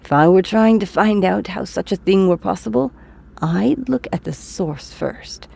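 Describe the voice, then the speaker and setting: A woman acting as an eccentric older teacher in a comic dub, doting on a former pupil. The voice is husky and dark, with a slow, teasing delivery. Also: medium pitch, lively intonation, heavily acted. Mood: knowing.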